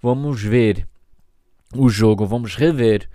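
A man's voice: medium pitch, slow, mellow, dry recording, studio quality. A man hosting a solo podcast, speaking hesitantly, often restarting and searching for words, as English is his more comfortable language. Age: 30s. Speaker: podcast host.

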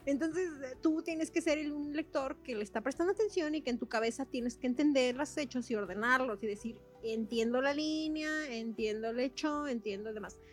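A woman's voice: high voice, normal speed, hardly any pauses, faint music behind. A young woman with a Mexican accent, co-hosting a casual book chat, slangy and chatty with laughs between words. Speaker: podcast co-host.

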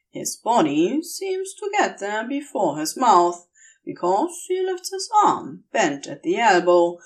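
Expressive, unhurried woman reading a story. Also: medium pitch. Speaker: audio fiction reader. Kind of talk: scripted story narration, expressive audiobook reading.

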